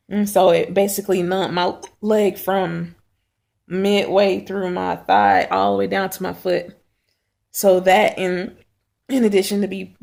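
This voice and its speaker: This is a woman recording a casual video diary at home. Her voice is hoarse and raspy from a sore throat, with heavy vocal fry at mid pitch. She speaks haltingly and clears her throat often. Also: a little mellow. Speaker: vlogger.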